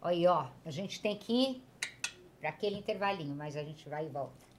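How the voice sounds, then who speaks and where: A woman's voice: on the low side, animated, normal speed. A woman hosting a TV health talk show, asking curious questions and affirming her guests. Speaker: host.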